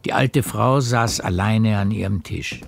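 A man reading scripted literary narration for a radio drama in a measured storytelling manner. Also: lowish voice, creaky, background noise.

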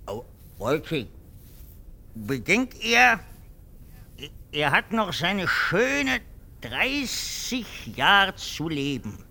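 Pompous army captain, wildly swooping voice. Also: male voice, high voice, pushed and bright, full character voice. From Frankfurt am Main, Germany. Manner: theatrical, patronizing, moralizing, swinging from murmur to squeal. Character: pompous, anxious, condescending army captain being shaved. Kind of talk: 1950s German radio drama acting. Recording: 1950s radio recording.

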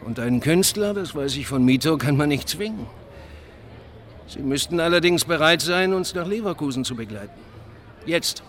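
A man playing a smooth, discreet company security chief in a radio crime drama, formal and evasive. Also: on the high side, lively intonation, normal speed, fairly bright tone. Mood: courteous, guarded.

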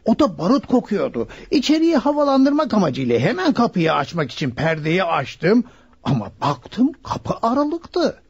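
An actor playing an excitable, fussily polite household butler in a radio play. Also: male voice, sits high, moderate pace, husky, heavily acted. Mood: eager explaining. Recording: dull, muffled old radio recording.

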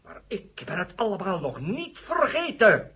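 An actor playing a bitter, vengeful professor with a sarcastic, theatrical delivery in a radio drama. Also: male voice, sits high, wildly swooping pitch, measured pace, sharp tone, breathy edge, exaggerated voice. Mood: bitter, scornful. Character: bitter, vengeful male bomb-inventing professor, scornful of Americans. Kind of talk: 1970 Dutch radio drama acting. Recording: muffled old 1970 radio recording.

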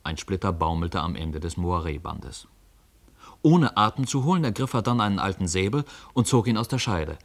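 A man's voice: sits low, lively intonation, moderate pace. A man narrating a story for a radio play in scripted storytelling style.

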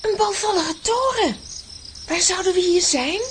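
A woman's voice: extremely high pitch, measured pace, slight background noise. A woman playing a bold, spirited young girl adventurer in a children's audio drama, eager and assertive, with a Flemish accent. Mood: puzzled.

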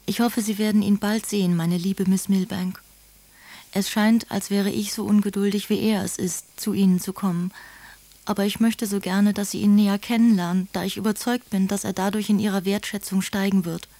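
An actress playing a gentle, devoted aristocratic sister and young mother, speaking letters politely and effusively in a German radio play. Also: medium pitch, steady tone, moderate pace, dry recording, lightly acted. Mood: earnest, courteous.

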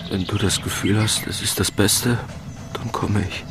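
An actor playing a plainspoken, devoted working-class lover in a radio play, terse, subdued and understated. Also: male voice, on the low side, steady tone, a little slow. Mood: resigned, devoted.

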